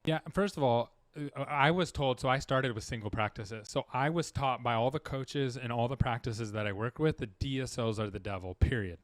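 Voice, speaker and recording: A man's voice: mid-range voice, moderate pace, few pauses, no echo. Marketing guy talking shop, earnest and rambly, dry close sound. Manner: earnest, rambling, full of restarts. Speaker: dental marketing guest. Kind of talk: casual interview chat.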